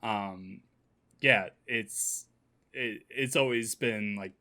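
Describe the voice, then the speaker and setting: A man's voice: fairly low pitch, very slow, bright, forceful tone. A man chatting casually as a panelist on a podcast. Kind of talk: casual chat.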